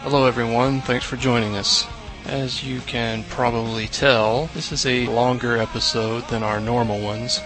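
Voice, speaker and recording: A man's voice: mid-range voice, little pitch movement, normal speed, music in the background, poor audio. A man hosting a podcast, giving a short friendly introduction to the episode. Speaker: podcast host.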